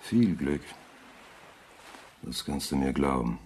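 An actor playing a middle-aged, world-weary rival in a radio drama, speaking in a measured, coolly ironic manner. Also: male voice, rumbling low, animated, darkish tone, slight background noise, heavily acted. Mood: wistful, resigned. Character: rich older rival, coolly resolute and world-weary man. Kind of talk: German radio drama acting.

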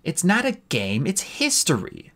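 A man's voice: sits high, huge pitch swings, measured pace, pushed and bright, voice pushed up, exaggerated voice. A man voicing a bossy, insistent young female dragon, the eldest and biggest of her group.

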